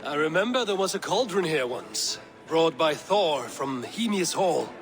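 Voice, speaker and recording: An actor playing a weary, commanding Norse god in a video game, speaking in a measured, stern way. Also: male voice, on the high side, sing-song, music in the background. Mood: curious, reflective. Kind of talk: video game cutscene dialogue.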